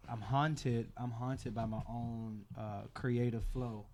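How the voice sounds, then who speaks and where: A man's voice: mid-range voice, steady tone, slow pace, crowd noise. An American man chatting casually as an interview guest, somewhat hesitant as he searches for his words. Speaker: guest, musician and dancer.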